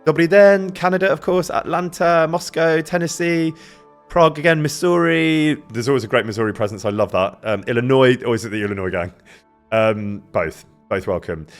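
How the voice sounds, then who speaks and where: A man casually chatting with his live-stream viewers, greeting them by name and laughing often, with a loud voice that carries. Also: medium pitch, normal speed, soft background music. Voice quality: loud, carrying voice. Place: indoor room near an event space.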